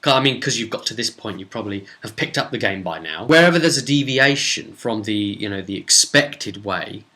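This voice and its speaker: A man's voice: on the low side, animated, fairly quick, few pauses, pushed and bright. A man with a British accent hosting a casual, unscripted tabletop role-playing recap as game master, chatty and rambling. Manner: rambling, chatty, acts out bits of dialogue.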